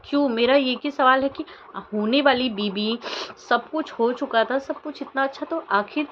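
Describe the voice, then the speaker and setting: A woman's voice: high voice, normal speed, a bit bright, dull audio, a little hiss or hum. A woman vlogger giving opinionated commentary to her viewers in an insistent way, with pointed rhetorical questions. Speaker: vlogger commenting on social media controversy.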